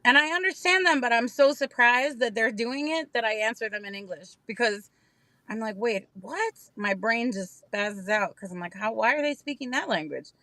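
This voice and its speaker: A woman's voice: a little high, expressive, normal speed, bright, forceful tone, dry recording. A woman co-hosting a casual live stream from inside her parked car, joking and teasing herself as she chats. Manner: joking, self-mocking, chatty. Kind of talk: casual late-night chat.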